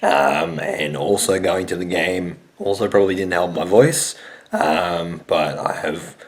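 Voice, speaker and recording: A young man with a hoarse, raspy voice from a sore throat, hosting a casual sports vlog and rambling with many hesitant fillers. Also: low voice, steady tone, unhurried. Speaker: sports show host.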